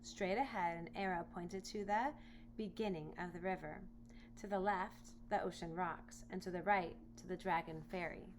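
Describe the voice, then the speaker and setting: A woman reading a children's storybook aloud in expressive narration. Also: lowish voice, normal speed. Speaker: storybook reader. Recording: noisy home recording with hum.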